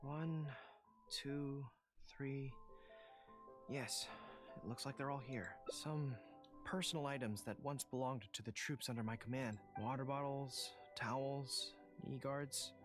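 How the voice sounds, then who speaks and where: A young woman who commands troops in a fantasy game. She is earnest, stern and caring, with formal, measured delivery. Prominent music plays behind her. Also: extremely low pitch. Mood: solemn. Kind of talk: video game voice acting.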